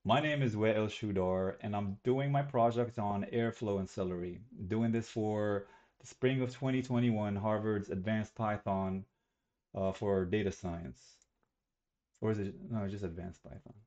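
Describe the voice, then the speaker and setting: A man's voice: lowish voice, a little slow, a little mellow, highs cut off. A young man from Algeria giving a recorded student presentation on a webcam, talking casually and correcting himself as he goes. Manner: casual, self-correcting, slightly rambling.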